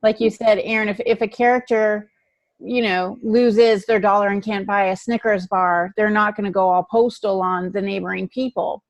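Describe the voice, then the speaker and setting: A woman's voice: mid-range voice, steady tone, normal speed, no echo, muffled recording. A woman co-hosting a writing podcast, speaking casually and emphatically as she discusses the craft. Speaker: writing podcast co-host and novelist.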